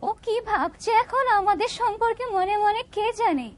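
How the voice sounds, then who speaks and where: Woman voicing an earnest, very high-pitched young village woman. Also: lively intonation, normal speed, over loud music. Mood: shocked, ashamed. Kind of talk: dramatic cartoon dubbing.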